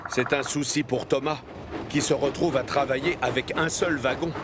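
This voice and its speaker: A man narrating a scripted children's cartoon story as an expressive storyteller. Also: mid-range voice, normal speed, very noisy.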